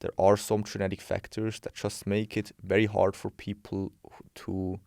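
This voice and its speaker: A man's voice: lowish voice, flat delivery, normal speed, vocal fry, a little mellow, dry recording, studio quality. A man, a coach giving frank, opinionated answers in a casual interview, thinking aloud as he goes. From Switzerland. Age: 20s. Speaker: guest, bodybuilding and health coach.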